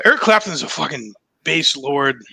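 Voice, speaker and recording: A man's voice: fairly high pitch, expressive, measured pace, gravelly, sharp tone, husky, no room sound, poor audio. A man co-hosting a casual podcast, talking loosely and sprinkling "you know" through his sentences. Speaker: podcast co-host. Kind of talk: casual chat reading super chats.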